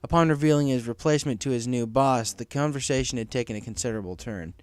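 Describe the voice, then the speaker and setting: A man's voice: medium pitch, quick pace, clean tone, dry recording. A man narrating a scripted story as an audiobook reader, in a dramatic storytelling manner. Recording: music behind the voice.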